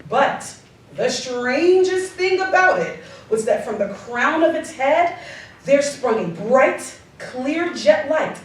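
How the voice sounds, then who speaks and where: High-voiced, echoing woman narrator. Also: expressive. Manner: theatrical, emphatic, deliberate word stress. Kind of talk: dramatic scripted story narration. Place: live community theatre stage. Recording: distant mic, very echoey live hall, slight noise.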